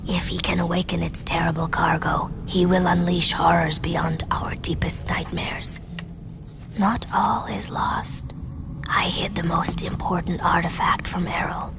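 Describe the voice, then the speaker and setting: An actress playing a solemn, serene warrior monk in a video game, speaking in a hushed manner. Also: female voice, fairly low pitch, little pitch movement, unhurried, creaky, in a whisper. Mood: grave, weakened. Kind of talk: dramatic video game acting. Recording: music behind the voice. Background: music.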